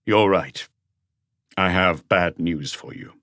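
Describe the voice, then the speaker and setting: A man voicing a calm, terse male chief inspector who questions witnesses in a detective audiobook. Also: deep voice, takes it slowly, dry recording, studio quality. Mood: calm, grave.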